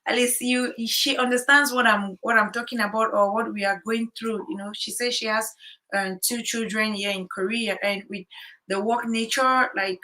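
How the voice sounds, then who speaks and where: Bright-voiced chatty young mother. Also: a little high, steady tone, moderate pace, poor audio. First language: English. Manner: chatty, many fillers and repeated words. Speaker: vlogger and new mother. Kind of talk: casual chat. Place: home in Korea.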